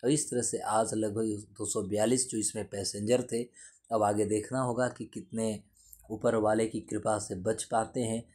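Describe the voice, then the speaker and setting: A man's voice: lowish voice, little pitch movement, measured pace, a little mellow. A man giving an explanatory lesson in a didactic way, repeating and rephrasing his points. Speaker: teacher explaining current affairs.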